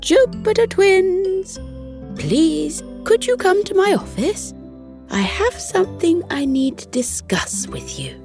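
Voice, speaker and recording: A woman voicing a kindly female head teacher in a children's bedtime story, speaking in a polite, measured, formal way. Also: extremely high pitch, sing-song, a little mellow, soft background music, voice pushed up, exaggerated voice. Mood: formal, calling out.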